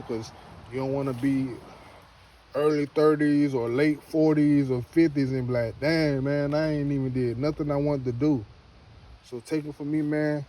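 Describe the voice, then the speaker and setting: Man in his thirties, casual vlogger, warm low-key tone, loose rambling delivery, faint noise behind. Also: poor audio. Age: 30s. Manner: rambling, repeats himself, slangy; earnest motivational pep talk. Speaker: homestead vlogger. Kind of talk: casual to-camera vlog.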